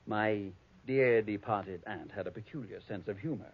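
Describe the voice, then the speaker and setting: An actor playing a prim, conniving, avaricious heir, speaking formally and precisely in 1940s radio style. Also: male voice, lowish voice, moderate pace, slightly rough. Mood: precise, superior. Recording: thin, phone-like old radio recording.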